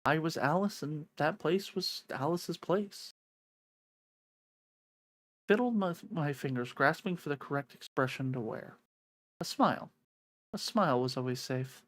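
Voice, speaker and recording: A woman's voice: sits low, measured pace, pauses often. A woman streamer reading a visual novel aloud in a theatrical storytelling manner.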